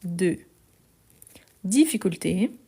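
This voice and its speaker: A woman French teacher slowly going through a written exercise, correcting mistakes and checking in with her students. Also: lowish voice, very animated, gravelly, mellow, slightly rough. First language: Portuguese. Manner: patient, repeats words slowly, checks in with "ok?".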